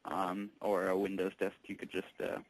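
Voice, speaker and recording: A man working as a tech support employee on a call, explaining things patiently in a halting, informal way. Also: low voice, completely flat delivery, no room sound, poor audio. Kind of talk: casual explanatory phone conversation.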